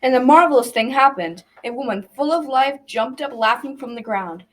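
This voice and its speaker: A folksy folktale storyteller played by a young girl with a fairly high voice, telling a scripted tale. The recording is a little distant, with faint noise underneath, like a school radio play. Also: normal speed, lightly acted. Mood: wondering. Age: child about 12 to 13. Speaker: narrator of a school radio drama.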